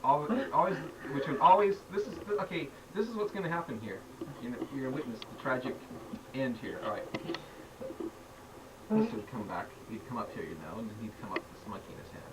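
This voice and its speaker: A middle-aged man showing his childhood toy scenes on home video, rambling and excited with frequent restarts. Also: medium pitch, brisk. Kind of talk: casual home-video show-and-tell. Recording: distant home-video mic with noise.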